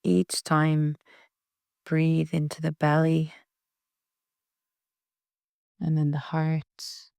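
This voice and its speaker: Woman, meditation guide, low-pitched, soft and breathy, soothing, long pauses, gravelly creak at the edges. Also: completely flat delivery, no echo, clean studio sound. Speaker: yoga nidra meditation guide.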